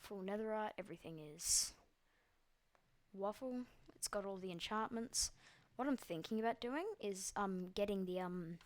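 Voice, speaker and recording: A woman's voice: mid-range voice, moderate pace, slight background noise. A young Australian woman giving casual, rambling commentary over her gameplay, full of ums and likes and the odd swear. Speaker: gaming video creator.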